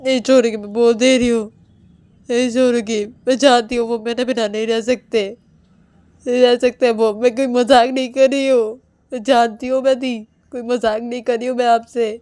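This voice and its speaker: A woman voicing a fierce young wife who loudly and insistently repeats her threats to protect her husband. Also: lowish voice, little pitch movement, quick pace, slightly rough, no echo. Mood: furious.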